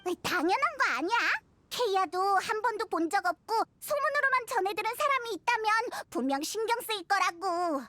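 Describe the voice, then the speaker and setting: Game sidekick: small excitable fairy girl, extremely high and squeaky, swinging intonation, nosy and expressive. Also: fairly quick, dry recording, heavily acted. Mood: indignant, excited. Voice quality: childlike, squeaky. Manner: exclamatory, expressive. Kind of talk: animated game dialogue acting.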